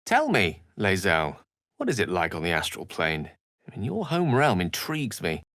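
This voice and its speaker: A man's voice: low voice, huge pitch swings, moderate pace, fairly bright tone, no room sound, studio quality, heavily acted. A man playing an erudite, witty wizard in a fantasy video game, speaking with eloquent, wry and courteous theatricality. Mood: thoughtful, explanatory.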